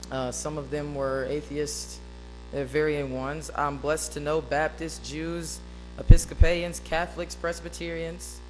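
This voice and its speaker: A woman delivers an emphatic prepared speech into a podium microphone. Her voice is big and loud, like a radio talker's, and each point lands with earnest force. A faint hum and soft music sit underneath. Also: poor audio. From East Arkansas, USA. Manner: loud, booming voice. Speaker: speaker at an interfaith devotional. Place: meeting hall.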